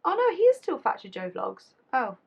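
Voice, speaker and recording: Twenties woman YouTuber; chatty, rambling, slangy, voice lowered from illness. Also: wildly swooping pitch, moderate pace, vocal fry, slightly rough, muffled recording. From England. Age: 20s. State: voice deeper than usual after illness. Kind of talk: casual chatty vlog.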